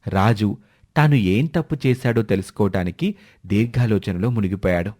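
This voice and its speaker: A man's voice: on the low side, normal speed, mellow. A man narrating a scripted moral story for children as a storyteller.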